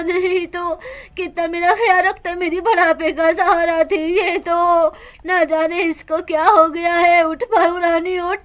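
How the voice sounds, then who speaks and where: Woman playing an old grandmother, lamenting, high voice. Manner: wailing, lamenting.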